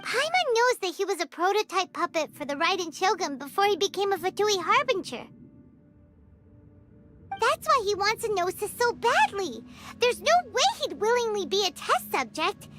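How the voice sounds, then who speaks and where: A woman voicing a tiny, chatty, blunt childlike girl companion in a fantasy game, with a squeaky, exclamatory delivery. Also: sing-song, normal speed, full character voice. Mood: scornful, matter-of-fact. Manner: exclamatory, refers to self in third person. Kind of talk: animated fantasy game dialogue. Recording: music behind the voice. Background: loud game music.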